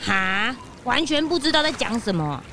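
A woman's voice: a little high, sing-song, moderate pace, vocal fry, background noise. A woman voicing a naive, curious and chatty young detective's sidekick in a children's audio drama, childlike in manner. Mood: curious, puzzled. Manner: childlike, blurting questions.

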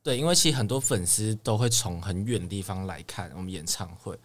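A man's voice: lowish voice, brisk. A young man, chatting casually as an idol guest. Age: young adult. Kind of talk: casual chat.

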